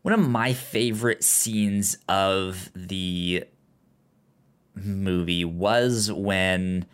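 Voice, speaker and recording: A man co-hosting a casual film discussion, talking haltingly with repeated words and false starts. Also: low voice, steady tone, smooth. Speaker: podcast co-host.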